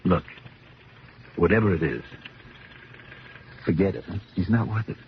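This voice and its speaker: An actor playing an easygoing, kindhearted police lieutenant in a radio drama, with a folksy, wisecracking way of talking. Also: male voice, low voice, animated, measured pace. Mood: wry, reassuring. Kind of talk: 1970s radio drama acting. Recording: dull, noisy 1970s radio broadcast recording.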